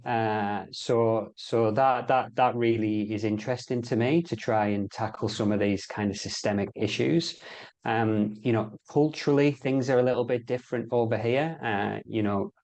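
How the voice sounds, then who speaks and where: A man's voice: mid-range voice, fairly even intonation, normal speed, a little mellow. A middle-aged man with an English accent, speaking as an interview guest in casual chat, with hesitant phrasing and repeated words. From England. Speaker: interview guest in sport and health sector.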